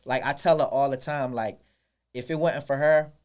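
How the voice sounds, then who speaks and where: A man's voice: medium pitch, fairly even intonation, moderate pace, darkish tone. A man co-hosting a podcast, telling a school story in slangy casual chat with lots of restarts. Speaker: podcast co-host.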